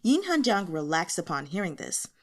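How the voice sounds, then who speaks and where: Animated woman reading fiction aloud. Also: lowish voice, moderate pace. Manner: informal, playful, expressive. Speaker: audiobook reader. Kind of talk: animated amateur fiction narration with character voices.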